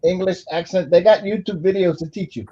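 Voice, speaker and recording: Folksy American male, fairly high voice, casual joking delivery over a dull-sounding online call. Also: fairly quick, mellow, husky. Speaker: chat participant. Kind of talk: casual group chat.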